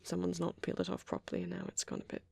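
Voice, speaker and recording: A man doing a soft, often whispered ASMR roleplay as a shy, gentle young vampire boyfriend, rambling hesitantly in a breathy, husky voice. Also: high-pitched, monotone, racing, no room sound.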